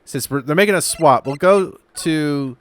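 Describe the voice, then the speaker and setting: A man's voice: on the high side, a little slow, faint music behind. A man hosting a let's play with casual, playful, wisecracking commentary. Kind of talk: casual joking game commentary.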